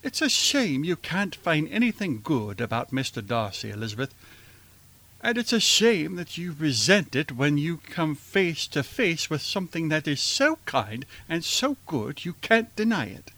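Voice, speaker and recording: A man playing a fond, mild elderly gentleman father in a radio play, with a musing, hesitant manner. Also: a little high, sing-song, unhurried, dry recording, heavily acted. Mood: tender, probing. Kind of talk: radio theater acting.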